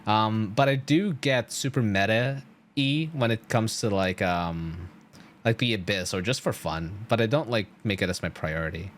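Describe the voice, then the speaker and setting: A man's voice: fairly low pitch, a little slow, a little hiss or hum. A man streaming a game, chatting casually to viewers in an enthusiastic, slangy, rambling way.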